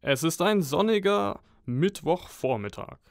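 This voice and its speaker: A man's voice: high-pitched, animated, measured pace, vocal fry. A man giving scripted, mock-heroic dramatic narration for a comic audio play.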